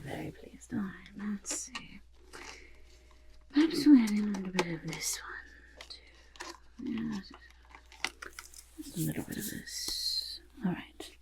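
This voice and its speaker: A woman voicing an eccentric, self-satisfied goblin artist in an ASMR roleplay, chatty and boastful, with a creaky voice that often drops into whispers. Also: sits low, full character voice. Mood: smug, delighted, then hushed, absorbed. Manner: chatty, boastful, third-person self-talk, halting murmurs. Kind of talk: ASMR roleplay, personal attention.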